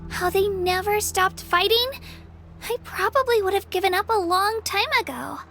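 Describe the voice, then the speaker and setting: A woman's voice: very high voice, normal speed, bright, forceful tone, soft background music, exaggerated voice. A woman voicing an eager, modest young girl, an apprentice warrior in a video game, earnest and girlish.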